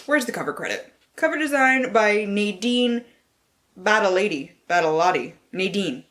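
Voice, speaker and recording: A woman's voice: medium pitch, animated, normal speed, a bit bright. Theatrical woman book vlogger, reads blurbs all dramatic then drops into slangy sweary side comments. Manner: theatrical, playful asides to herself. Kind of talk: casual chat mixed with mock-dramatic reading of book blurbs.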